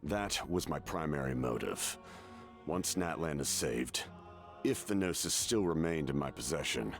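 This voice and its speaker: Very deep, gravelly, solemn ancient warrior. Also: male voice, animated, full character voice. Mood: grave, resolute. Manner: slow, measured, grave. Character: brooding ancient warrior, solemn, weary, proud man. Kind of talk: video game voice acting. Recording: background music under the voice.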